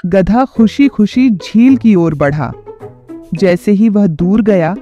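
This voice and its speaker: A narrator telling a children's moral story in a lively storytelling way. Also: medium pitch, takes it slowly, lo-fi sound, soft background music.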